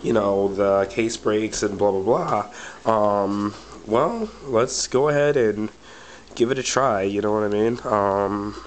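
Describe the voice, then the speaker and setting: A man's voice: fairly low pitch, slow pace, a little hiss or hum. Man with a cold, casual rambling. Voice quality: slightly cracky voice. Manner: rambling, many fillers like "but anyway" and "or whatever". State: has a bad cold. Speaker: product reviewer. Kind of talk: casual product review vlog.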